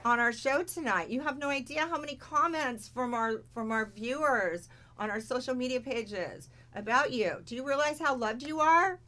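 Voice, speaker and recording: A woman hosts a casual podcast with a bright, forward tone. She introduces her guests with bubbly enthusiasm and keeps the chat lively. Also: sits high, normal speed, few pauses. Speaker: podcast host.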